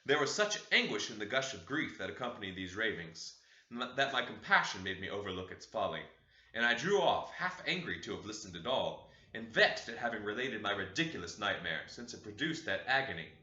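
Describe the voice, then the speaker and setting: A man reading a classic novel aloud as an audiobook, in an expressive, dramatic way, recorded in an echoey room with dull sound. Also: lowish voice, a little fast. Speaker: audiobook reader.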